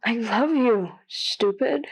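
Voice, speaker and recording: A woman's voice: a little high, slow pace, husky. A woman playing a devoted, affectionate and teasing girlfriend who calls an advice show in an audio drama. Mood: fond, firm. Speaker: caller to a radio advice show.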